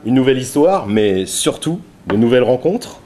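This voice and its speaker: A man hosting a casual interview, teasing his guest and dropping deadpan jokes. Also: mid-range voice, normal speed. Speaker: host of a web interview show.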